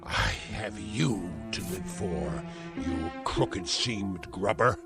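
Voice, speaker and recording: Casting: sneering bedridden old uncle, swooping delivery, score underneath. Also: male voice, deep voice, expressive, slow pace, heavily acted. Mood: scornful. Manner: venomous, theatrical insults. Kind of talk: vintage radio-style drama acting. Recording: music score behind.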